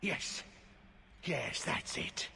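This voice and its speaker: An actor playing a tormented, half-mad corrupted warden in a fantasy video game, speaking in erratic, halting fragments. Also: male voice. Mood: muttering, confused. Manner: halting, fragmented, muttering then crying out. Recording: long hall reverb, background ambience noise.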